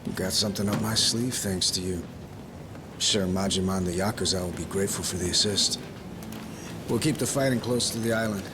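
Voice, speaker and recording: Low-voiced, steady leader, played by a man. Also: fairly quick, background noise, lightly acted. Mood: steady, planning. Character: steady, pragmatic male leader briefing his allies. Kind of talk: dramatic video game cutscene acting.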